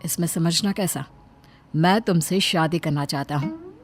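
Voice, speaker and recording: A woman's voice: fairly low pitch, sing-song, unhurried, pitched below the performer's own voice. A woman voicing an earnest, lovestruck young man in a cartoon moral story. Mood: earnest, insistent. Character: smitten, earnest young man proposing marriage. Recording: background music under the voice.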